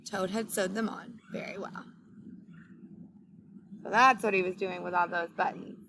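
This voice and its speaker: A woman reading a storybook aloud like a teacher, speaking clearly to young listeners. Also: mid-range voice, a little slow, fairly bright tone, a little hiss or hum. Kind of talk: children's storybook read-aloud.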